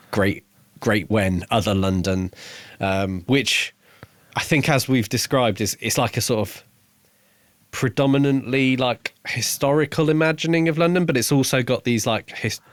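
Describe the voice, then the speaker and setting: A man with a lowish voice speaks in a very dry recording as a podcast co-host. He discusses a book casually and hesitantly, assembling his point in short pieces. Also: no room sound. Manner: hesitant, halting, hedging as he builds a thought.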